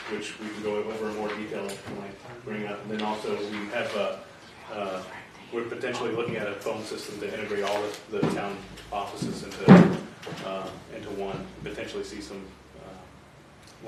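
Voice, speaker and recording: A man explaining items as a town official at an informal meeting, speaking in a halting, hesitant way. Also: fairly low pitch, completely flat delivery. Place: indoor meeting room. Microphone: distant room microphone. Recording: very echoey, distant, loud background noise.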